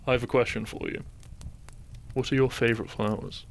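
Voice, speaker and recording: A man playing a gentle, devoted doctor and groom in a romantic audio roleplay, soft-spoken and measured. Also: mid-range voice, creaky, natural voice. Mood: gently teasing.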